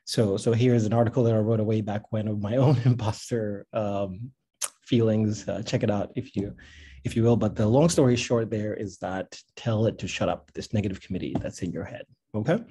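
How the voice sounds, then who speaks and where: A man's voice: lowish voice, moderate pace, warm tone, slight background noise. A middle-aged man from Tanzania speaking informally and encouragingly in a workshop talk, often repeating phrases for emphasis. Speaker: speaker, founder of a science advocacy institute. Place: indoor room with bookshelves, on a video call.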